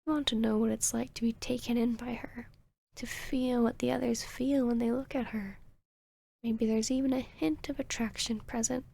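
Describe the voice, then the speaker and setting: A woman playing a calm, soothing vampire therapist in an ASMR roleplay, speaking softly and gently in a breathy, measured, near-whispering way. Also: a little high. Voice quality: breathy, soft. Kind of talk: ASMR roleplay, soft-spoken to the listener.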